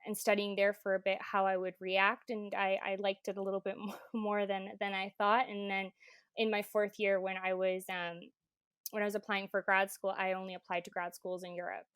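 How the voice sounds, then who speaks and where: A woman in her twenties, originally from Croatia and raised in Canada, answers questions in an earnest, rambling way, with plenty of ums and restarts. Her voice is smooth and clear and stays fairly level in pitch. The recording is close and dry. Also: flat delivery, normal speed, a bit bright, dry recording. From born in Croatia, grew up in Canada. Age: 20s. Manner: earnest, with many fillers and restarts. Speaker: podcast guest. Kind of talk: casual interview conversation.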